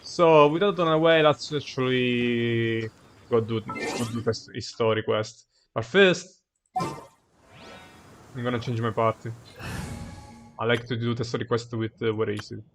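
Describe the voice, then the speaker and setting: Halting male game streamer. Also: mid-range voice, steady tone, over music. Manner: halting, informal, rambling. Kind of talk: casual livestream chat over gameplay.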